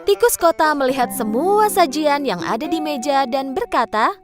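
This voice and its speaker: A woman's voice: high voice, expressive, normal speed. A woman narrating a scripted children's story. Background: music.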